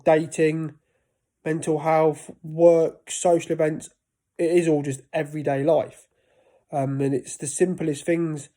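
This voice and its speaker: A man's voice: fairly high pitch, dark tone. A man in his thirties talking casually to camera about life with a stutter, often breaking off and restarting words. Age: 30s. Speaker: vlogger.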